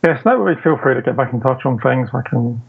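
A man's voice: mid-range voice, lively intonation, fast, gravelly, husky. A man talking casually as an interview guest, heard over a remote connection. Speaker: interview guest, audio drama writer. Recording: tinny phone-like remote call, faint music.

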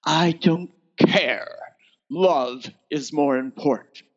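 A man's voice: a little high, very slow, lo-fi sound. A man voicing a commanding, besotted alien imperial officer, imperious but pleading, as dialogue in a space opera audiobook. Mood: ardent.

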